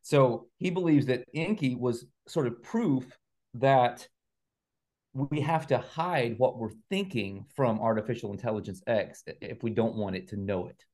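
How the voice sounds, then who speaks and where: A man's voice: medium pitch, unhurried, darkish tone. A man speaking as an interview guest on a video call, explaining ideas methodically, step by step. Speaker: guest author and researcher.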